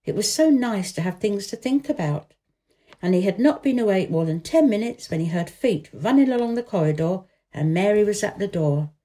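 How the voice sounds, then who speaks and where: Mellow-voiced woman narrating a storybook. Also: medium pitch, expressive, moderate pace. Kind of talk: scripted narration of a children's novel.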